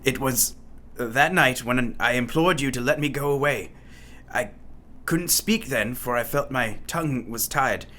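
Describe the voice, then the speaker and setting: A man playing a tormented madman in a radio play, delivering a halting, dramatic confession. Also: medium pitch, bright, forceful tone. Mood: haunted. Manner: haunted, dramatic, halting monologue.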